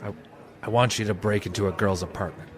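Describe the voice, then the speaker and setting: A man playing a hardboiled, cynical private detective in 1940s noir radio style, terse, tough and deadpan. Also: lowish voice, fast talker, close to an everyday voice. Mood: urgent, impatient.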